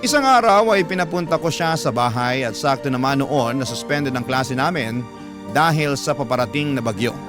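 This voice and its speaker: A man's voice: mid-range voice, expressive, moderate pace. A man with a Filipino accent narrating as a radio storyteller, in an emotional, scripted classic radio style. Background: music.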